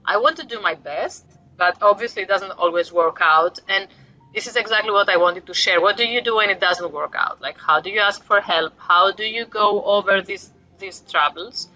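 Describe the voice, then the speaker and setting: A woman's voice: medium pitch, normal speed, sharp tone, muffled recording. A woman in her forties from Greece with a Greek accent, a guest author talking reflectively about parenting, with light self-deprecating humor. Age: 40s. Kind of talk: casual interview talk.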